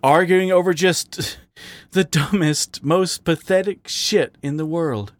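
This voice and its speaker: A man's voice: a little high, slow, no echo, pitched above the performer's own voice. A man voicing a wounded, self-mocking male raccoon who speaks with brittle wryness. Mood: wry. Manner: wry, brittle, raised voice.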